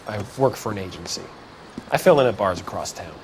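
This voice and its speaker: Male actor as obliging 1940s barman. Slightly low voice, courteous, plain-spoken, game dialogue over faint background noise. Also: lively intonation, fairly quick, natural voice, poor audio. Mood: polite. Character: polite, obliging male temporary bartender, 1940s. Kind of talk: video game voice acting.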